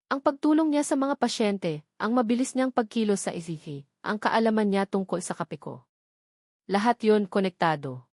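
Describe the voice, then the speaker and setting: A woman's voice: medium pitch, a little mellow, dry recording, studio quality. A woman narrating a first-person romantic drama in an earnest, emotional storytelling manner.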